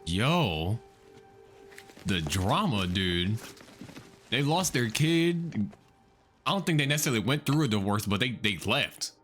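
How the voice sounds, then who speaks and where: A man hosting a reaction video, excitedly talking through a horror story, swearing and thinking out loud. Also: fairly low pitch, unhurried, pauses often, faint music behind. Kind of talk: casual reaction commentary.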